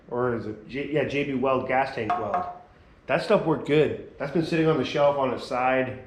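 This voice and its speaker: Man, mid-pitch, hands-on vlogger muttering while wrenching; slightly hoarse; roomy garage echo. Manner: relaxed, mutters quietly while working. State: losing his voice a little. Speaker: vlogger working on a small motor vehicle. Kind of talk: casual workshop narration.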